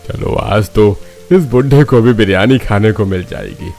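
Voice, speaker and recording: A man's voice: lowish voice, a little slow, gravelly, a little mellow, soft background music. A man playing a playful, food-loving old village grandfather in a cartoon. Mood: eager, delighted.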